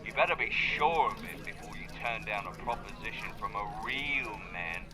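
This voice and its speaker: A man's voice: fairly low pitch, animated, a crowd in the background, close to an everyday voice. A man playing a cocky, flirtatious magazine rival with a smug, swaggering manner in an audio roleplay. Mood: smug flirting. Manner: smug, swaggering, drawn-out delivery. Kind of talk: scripted audio roleplay acting.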